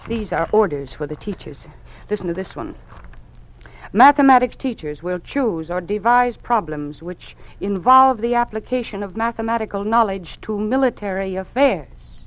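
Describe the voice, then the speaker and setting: An actress playing an earnest, troubled young schoolteacher in an old-time radio drama, with careful, precise diction. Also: female voice, medium pitch, expressive, moderate pace, lightly acted. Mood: troubled, indignant. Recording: muffled old radio recording.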